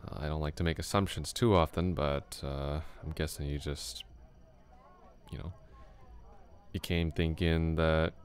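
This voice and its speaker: A man playing a laid-back flirty classmate, very deep. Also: steady tone, a little slow, pauses often. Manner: casual, easygoing, chuckles often. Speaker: ASMR roleplay voice actor. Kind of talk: ASMR roleplay, soft close talk.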